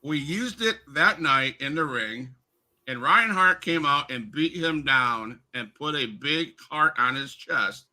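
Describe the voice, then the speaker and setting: A man's voice: on the high side, animated, slow, sharp tone. A man hosting a wrestling podcast, asking questions casually and reading out messages he got. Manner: friendly, reads questions and texts aloud. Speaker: podcast host.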